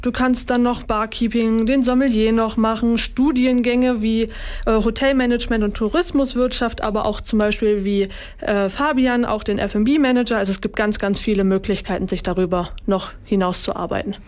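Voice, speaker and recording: A woman's voice: on the high side, moderate pace. A woman, a newly qualified hospitality worker speaking steadily as a radio interview guest. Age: 20s. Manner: steady, explanatory, some fillers. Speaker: recent hospitality trainee.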